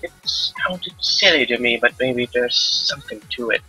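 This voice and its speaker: A man voicing a gentle, musing old village elder in a visual novel read aloud. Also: mid-range voice, wildly swooping pitch, moderate pace, soft background music, exaggerated voice, rough recording. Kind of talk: visual novel character reading.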